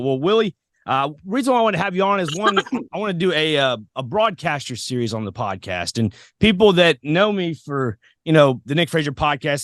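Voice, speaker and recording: A man's voice: mid-range voice, no echo, studio quality. An American man hosting a podcast, chatting in a casual, rambling, informal way as he interviews. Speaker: podcast host. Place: podcast set.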